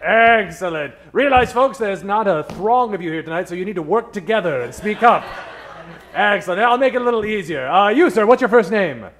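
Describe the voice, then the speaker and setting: A man's voice: sits high, lively intonation, a little fast, a bit bright. A man hosting live improv comedy on a theater stage, talking like a theatrical, mock-formal 1940s radio showman. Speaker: stage MC warming up audience.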